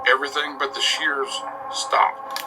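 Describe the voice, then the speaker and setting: A man narrates a radio drama in a medium-pitched voice. His delivery is measured and dramatic, like scripted storytelling, and music plays loudly underneath him. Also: level tone, poor audio. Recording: loud music behind narration.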